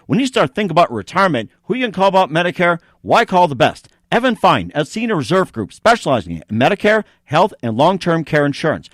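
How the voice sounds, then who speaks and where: A man with a slightly higher-than-average voice works as a show host. He speaks in the manner of a radio interview and sponsor read. The sound is dry, with no room around it. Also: sing-song, fast talker, hardly any pauses, dry recording.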